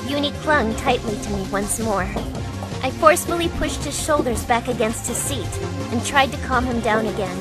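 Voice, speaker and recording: Actress as a protective young woman, high voice, earnest, dramatic visual novel delivery, prominent music behind. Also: expressive, moderate pace, natural voice. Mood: tense narration. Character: protective older-sister young woman, narrating and reassuring a child.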